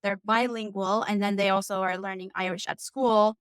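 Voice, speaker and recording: A woman from Turkey whose first language is Turkish, a coach guest on a podcast, chatting casually and warmly with many fillers. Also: mid-range voice, fairly even intonation, moderate pace, smooth, no echo, clean studio sound. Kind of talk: casual interview chat.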